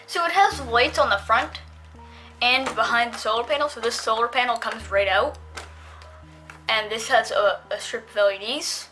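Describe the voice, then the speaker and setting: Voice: mid-range voice, moderate pace, music in the background. A female-sounding reviewer giving a casual, unscripted product demonstration, talking in a hesitant, rambling way.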